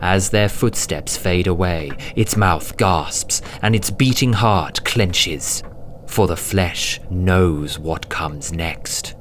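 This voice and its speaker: A man with a low voice narrates a dramatic audiobook. His delivery is slow, deliberate and suspenseful, hushed at times. Faint ambient noise sits behind him.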